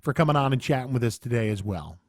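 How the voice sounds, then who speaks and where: A man's voice: medium pitch, animated, fast, a little mellow, dry recording, clean studio sound. A man co-hosting a podcast in casual, informal and appreciative talk. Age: adult past college and military years. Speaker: podcast co-host. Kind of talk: casual chat and show sign-off.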